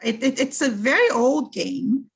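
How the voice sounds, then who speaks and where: Middle-aged woman from Brazil, husky, thoughtful guest who restarts her sentences. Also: high voice, measured pace. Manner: thoughtful, with frequent restarts and fillers. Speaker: guest, French teacher and PhD candidate. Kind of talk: casual interview chat.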